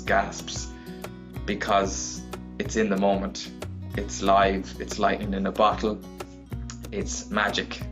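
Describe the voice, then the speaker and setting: A man shares a personal reflection in an even, fairly flat delivery. Plucked guitar music plays clearly behind his voice. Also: low voice.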